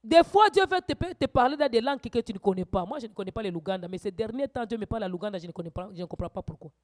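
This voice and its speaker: A woman preacher teaching a church sermon, swinging from quiet asides to loud, urgent exhortations. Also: medium pitch, animated, rapid-fire.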